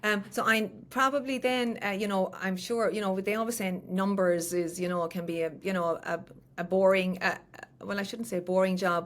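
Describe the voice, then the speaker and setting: An Irish woman hosts a casual interview, her questions coming slowly with plenty of fillers and restarts. Her voice sits at a middling pitch. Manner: hesitant, lots of fillers while framing questions. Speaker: podcast host.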